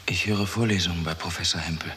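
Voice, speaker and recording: A man's voice: deep voice, steady tone, fast talker, bright, forceful tone. Troubled young man, reverberant. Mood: subdued, hesitant. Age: young adult. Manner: distressed, protesting. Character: anguished young male student, reluctant newcomer, overwhelmed. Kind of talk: 1960s German radio drama acting.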